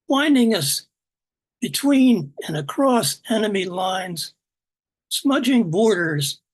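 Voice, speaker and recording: A woman reading her own poem aloud in a measured, deliberate way over a video call. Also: mid-range voice, husky. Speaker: poet reading at open mic. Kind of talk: poetry reading.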